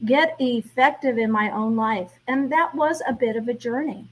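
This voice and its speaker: Middle aged lady guest, kinda high and breathy, thoughtful, laughs a bit. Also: moderate pace, rough recording. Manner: reflective, laughs between thoughts. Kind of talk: interview conversation.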